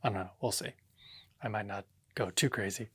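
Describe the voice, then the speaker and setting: A man's voice: fairly low pitch, brisk, sharp tone, husky, no echo, clean studio sound. A man narrating a hands-on vlog casually, thinking aloud and talking to himself with short exclamations. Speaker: home theater DIY vlogger. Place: indoor home theater room with drop ceiling.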